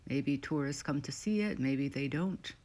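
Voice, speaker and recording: A woman leading a scripted guided meditation in short, measured, soothing phrases with pauses between them. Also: extremely low pitch, darkish tone.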